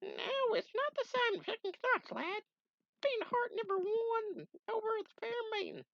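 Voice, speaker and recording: A tiny old ant mentor, grandpa vibe, real high and wobbly, kinda dull lo-fi sound. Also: male voice, sing-song, a little slow, gravelly, dry recording, exaggerated voice. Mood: fond. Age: older. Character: old wise male fire-ant mentor, fond, grandfatherly.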